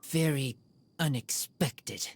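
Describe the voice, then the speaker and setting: A man voicing a shy feral teenage boy in an anime-style video game, speaking in terse, halting words. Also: fairly high pitch, slight background noise. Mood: halting, subdued.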